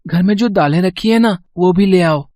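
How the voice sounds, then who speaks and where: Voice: medium pitch, normal speed, darkish tone, dry recording, clean studio sound, close to an everyday voice. An adult acting a small hungry boy. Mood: insistent. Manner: polite, demanding. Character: small hungry boy, a god in disguise, politely insistent. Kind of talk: acted storybook dialogue.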